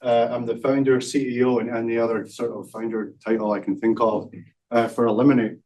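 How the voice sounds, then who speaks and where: A Scottish man in his forties pitches his startup at a community event, filling gaps with ums and joking at his own expense. His voice sits in an ordinary middle range and comes across a bit distant from the mic on stage. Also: flat delivery, brisk, warm tone. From Scotland. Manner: self-deprecating, many ums and uhs. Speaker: startup founder presenting. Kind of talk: live pitch presentation.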